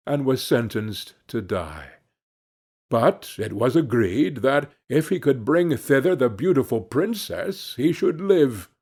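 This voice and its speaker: A man narrating a scripted fairy tale as an audiobook reader, in a measured storybook manner. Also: medium pitch, darkish tone.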